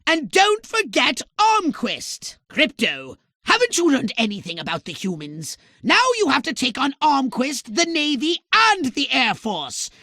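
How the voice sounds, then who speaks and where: A tiny, haughty alien commander for a video game. The voice is piercing and sharp, leaping dramatically in pitch, recorded very dry and close. The delivery is theatrical, scolding and impatient, with pompous, clipped formal diction. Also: male voice, wildly swooping pitch, unhurried, hardly any pauses, no room sound, full character voice. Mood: commanding. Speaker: mission controller giving orders.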